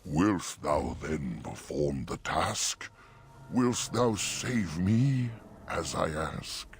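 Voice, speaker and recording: An actor playing an ancient, solemn oak tree spirit who speaks slow, archaic verse in a fantasy game. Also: male voice, very deep voice, lively intonation, husky, heavily acted. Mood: solemn, pleading. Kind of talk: fantasy video game acting.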